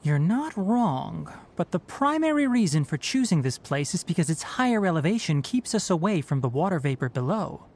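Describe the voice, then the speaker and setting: A calm young forest ranger from a video game, speaking in a lowish voice with lively rises and falls. He explains the wilderness patiently, like a teacher, with a mild teasing edge. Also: moderate pace, hardly any pauses. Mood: instructive.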